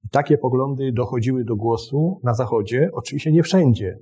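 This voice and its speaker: Male historian explaining stuff, husky dark voice, formal but halting. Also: medium pitch. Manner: hesitant, formal phrasing with self-corrections. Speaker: historian guest. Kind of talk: explanatory interview talk.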